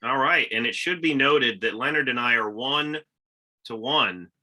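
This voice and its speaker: A man's voice: mid-range voice, moderate pace, bright, forceful tone, low-quality recording. A man co-hosting a casual wrestling podcast, upbeat and teasing his co-host.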